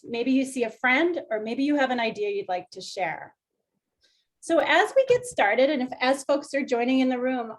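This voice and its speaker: A woman welcoming people to an online workshop over a video call, inviting and gently instructive. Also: high-pitched. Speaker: workshop facilitator.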